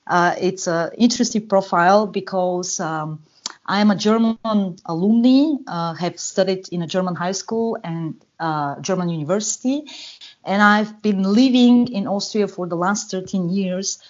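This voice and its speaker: A woman's voice: medium pitch, moderate pace. A woman from Bulgaria with a Bulgarian accent, speaking as a guest analyst on a podcast and talking with many fillers and restarts. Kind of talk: podcast interview, explaining. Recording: dull lo-fi call audio.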